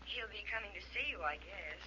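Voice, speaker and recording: A woman's voice: mid-range voice, lively intonation, a little fast, a crowd in the background, natural voice. An actress playing an anxious, urgent woman in a 1940s radio drama. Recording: muffled, hissy old radio recording.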